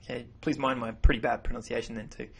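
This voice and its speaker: Male voice-over teacher, native English speaker, husky, casual and self-correcting. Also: mid-range voice, fast talker. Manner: relaxed, self-correcting explanations. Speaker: language teacher. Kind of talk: narrated slideshow lesson.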